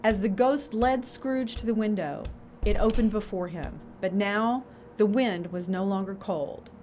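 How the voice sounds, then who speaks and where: A woman narrates an audio drama in a scripted storytelling style. Her pitch is average for a woman, and faint music plays under the narration. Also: a little slow, warm tone.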